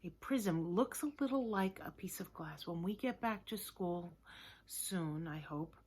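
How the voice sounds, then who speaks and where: A woman teaching young children in a lesson to camera, speaking simply and encouragingly with deliberate, emphatic phrasing. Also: on the low side, lively intonation, a little mellow, breathy edge.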